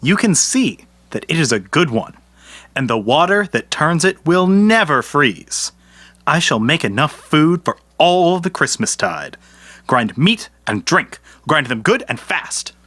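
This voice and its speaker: An actor playing a poor, canny, good-natured peasant man in an audio folktale, speaking deliberately. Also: a little high, very animated, sharp tone, no room sound, full character voice. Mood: confident, sly. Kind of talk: audio storybook character acting.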